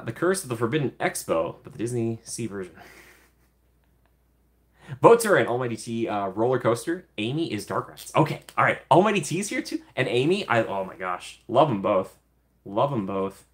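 A young man in his twenties hosting a casual livestream from a small room, chatting and answering viewer comments. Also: mid-range voice. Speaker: livestream host.

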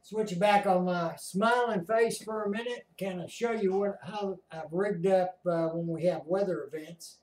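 An older American man chatting casually as he explains things as an amateur radio operator, halting and deliberate. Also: high voice, fairly even intonation, darkish tone. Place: small home radio room, indoors. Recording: roomy, distant from the mic.

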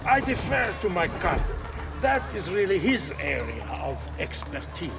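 A man's voice: high voice, sing-song, moderate pace, vocal fry, music in the background, heavily acted. An actor playing a courtly, deferential Venetian nobleman in a game, with an Italian accent and formal, obsequious manner.

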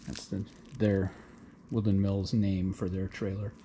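A man casually narrating as he unboxes sawmill equipment in his garage, explaining each piece as he goes with relaxed fillers. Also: low voice, a little slow, slight background noise.

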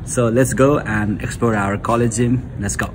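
A man's voice: on the low side, fairly even intonation, moderate pace, background noise. A young man in his twenties with a Nepali accent, chatting casually in a vlog, full of fillers like "like" and "uh", filmed on a phone held at arm's length. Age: 20s. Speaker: vlogger. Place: college gym and campus halls, indoors. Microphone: phone camera at arm's length.

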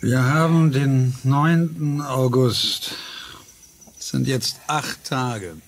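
An actor playing a driven, defensive scientist who leads a research team, speaking in an insistent radio-play manner. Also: male voice, medium pitch, slow pace. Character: driven older male scientist, stubborn and defensive.

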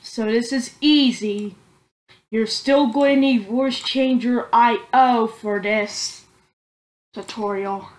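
Woman doing a slow screen-record tutorial, kinda high voice, stops and fixes words a lot. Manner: slow, halting, self-correcting. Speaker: tutorial maker.

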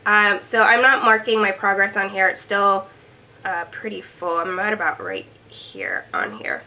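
A woman's voice: medium pitch, expressive, unhurried, bright, forceful tone, low-quality recording. A young woman talking to camera in a small room at home, chatty and upbeat with plenty of ums, sounding a bit congested from a cold. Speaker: beauty vlogger. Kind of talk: casual product update to camera.

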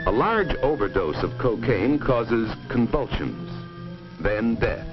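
Male narrator, low, grave, authoritative, 1950s educational film, dull soundtrack with music. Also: very animated, takes it slowly.

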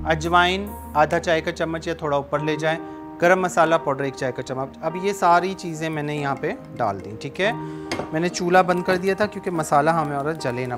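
A man in his thirties hosting a cooking show, patiently explaining each step as he cooks in a studio kitchen. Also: on the high side. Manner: explains steps patiently, switches between Urdu and Sindhi. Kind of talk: cooking demonstration with phone-in calls. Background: background music.

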